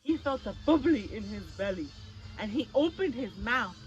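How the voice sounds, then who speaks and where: A woman reads a children's story in a high, very expressive voice. She takes her time and draws young listeners in with questions. The sound is dull and lo-fi, with faint music behind. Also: moderate pace. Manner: animated, gasps and prompts young listeners with questions. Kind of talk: expressive picture-book read-aloud with questions to children.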